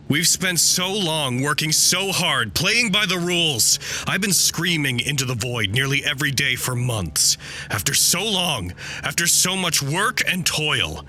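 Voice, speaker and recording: A man's voice: medium pitch, bright, forceful tone. An actor playing a betrayed, bitter male researcher venting formally and articulately into a personal log in an audio drama.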